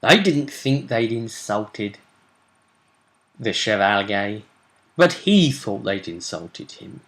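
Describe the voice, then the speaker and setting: A man's voice: fairly low pitch, big pitch swings, slow pace, fairly bright tone. A man hosting a tabletop role-play vidcast as game master, casually recapping a session with rambling, chatty asides.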